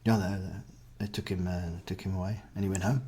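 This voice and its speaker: Blunt South African man, low voice. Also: fairly even intonation, fairly quick, mellow, breathy edge. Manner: blunt, laconic, crude jokes. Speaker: guest, father and ex-soldier. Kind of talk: casual podcast Q&A.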